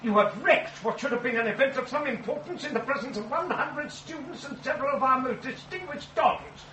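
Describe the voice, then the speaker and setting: A man's voice: extremely high pitch, a little fast, sharp tone, roomy sound, distant mic, a little hiss or hum, rough recording. A man playing a pompous, indignant scholar in a period ghost story drama, speaking formally and with exasperation. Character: pompous, indignant male English academic scholar.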